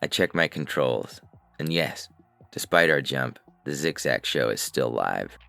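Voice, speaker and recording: Extremely deep, creaky man narrating fiction. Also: lively intonation, unhurried, sharp tone, no room sound. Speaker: narrator and reader. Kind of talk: scripted first-person science fiction narration.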